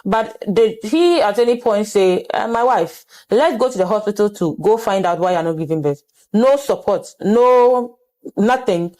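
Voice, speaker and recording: Ghanaian woman host, warm, earnest, restates answers. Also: mid-range voice, animated, normal speed, low-quality recording. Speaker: interviewer and host. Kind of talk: interview with advocacy appeals.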